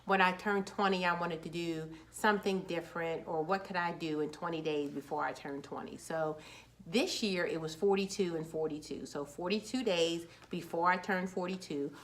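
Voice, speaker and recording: A woman's voice: fairly low pitch, normal speed. A woman in her forties, a children's book author, talking casually and upbeat to camera, a little nervous and restarting words. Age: 40s.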